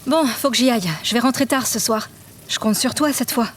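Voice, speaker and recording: A young actress playing a weary, hurt student in an audio drama. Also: female voice, on the high side, a little fast, slight background noise, natural voice. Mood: weary, patient. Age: young adult. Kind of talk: French audio drama acting.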